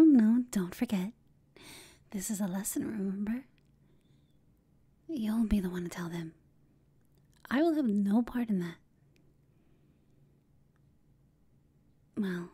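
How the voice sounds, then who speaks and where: A woman performs a flirtatious villainess in an intimate ASMR roleplay. Her voice is extremely breathy and mostly whispered, with a soft, mellow tone. She takes her time, teasing and taunting her enemy with smug amusement. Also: mid-range voice. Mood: teasing, insistent.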